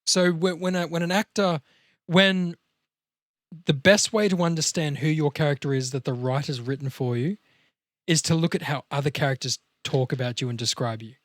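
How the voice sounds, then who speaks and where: A man with a slightly high voice explains acting like a casual coach. He addresses his listener directly and swears freely. The sound is dry, with almost no room in it. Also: steady tone, normal speed, a bit bright, no echo. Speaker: podcast host explaining acting.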